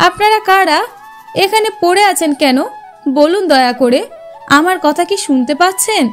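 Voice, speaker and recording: Lady as a plucky kind young forest girl, really high voice, cartoon, bit of music. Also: animated, moderate pace. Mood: concerned. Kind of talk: cartoon fairy-tale acting.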